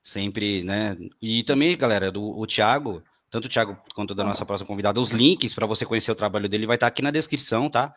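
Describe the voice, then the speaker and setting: A man's voice: lowish voice, quick pace, few pauses, fairly bright tone. A man with a São Paulo Brazilian accent hosting a podcast in a casual, chatty way, often repeating his words. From Guarulhos, Brazil. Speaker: podcast host.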